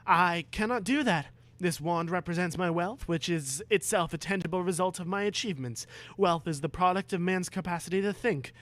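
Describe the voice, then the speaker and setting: A man's voice: high-pitched, moderate pace, few pauses, a bit bright, voice pushed up. A man voicing an earnest, pompous schoolboy who lectures with great self-assurance in a dramatic reading. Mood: defiant, lecturing. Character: earnest, pompous schoolboy free-market zealot.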